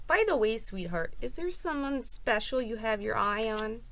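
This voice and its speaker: A woman's voice: a little high, lively intonation, normal speed, fairly bright tone. A woman acting a fussy, nosy, sweetly bossy mother in a student radio drama. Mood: prying.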